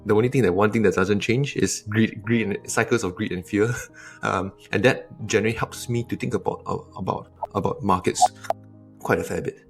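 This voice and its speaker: A man's voice: on the low side, steady tone, brisk, faint music behind. A man from Singapore, answering interview questions thoughtfully, often restarting and adding tag questions. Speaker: investor guest.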